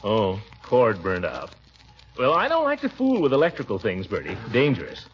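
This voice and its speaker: Fields: a pompous, blustering uncle. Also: male voice, medium pitch, wildly swooping pitch, normal speed, breathy edge. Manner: pompous, lecturing, with chuckles. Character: pompous, blustering middle-aged bachelor uncle. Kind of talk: 1940s radio acting. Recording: dull old radio recording with music.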